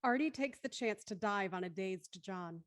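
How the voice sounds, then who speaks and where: A woman's voice: medium pitch, lively intonation, moderate pace, no echo, clean studio sound, lightly acted. A woman acting as the intent narrator of an audio thriller, reading dramatic scripted narration. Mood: intent storytelling.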